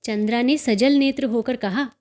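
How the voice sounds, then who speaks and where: A woman's voice: fairly high pitch, normal speed, darkish tone. A woman narrating a scripted story as an audiobook storyteller.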